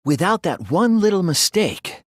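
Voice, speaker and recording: A man voicing an earnest, thoughtful teenage boy detective in an English video game dub, reasoning deliberately through his lines. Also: high-pitched, very animated, no room sound, clean studio sound, exaggerated voice. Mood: urgent, alarmed.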